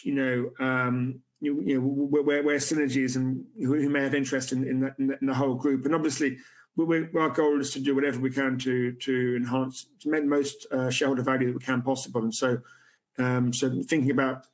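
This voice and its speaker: A man who runs a company, answering investor questions in a conference Q&A over a video call at night, restarting his sentences often and filling them with "um" and "you know". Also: medium pitch. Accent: non-American. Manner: halting, many restarts and fillers. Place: room with a city view at night.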